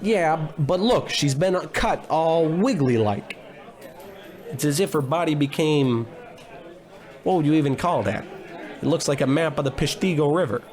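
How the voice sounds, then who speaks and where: An actor playing a bumbling, self-important, grumbling private investigator in a comedy in old radio detective style. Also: male voice, on the high side, expressive, measured pace, a little mellow, a faint crowd. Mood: blustery, oblivious. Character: bumbling, blustery, gluttonous male private investigator.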